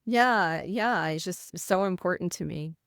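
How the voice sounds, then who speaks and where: A middle-aged woman nurse with a Midwestern American accent, speaking with a voice that can sound slightly rough, telling a personal story in an interview. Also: lowish voice, measured pace, fairly bright tone, no room sound, clean studio sound. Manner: earnest, vivid retelling with quoted inner thoughts. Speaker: nurse, podcast guest.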